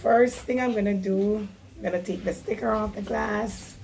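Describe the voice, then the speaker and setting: A woman from Jamaica, hoarse, chatting casually through a DIY craft tutorial and explaining each step. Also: mid-range voice, steady tone, normal speed, a little mellow, slight background noise, poor audio. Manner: chatty and instructive, apologetic about her voice.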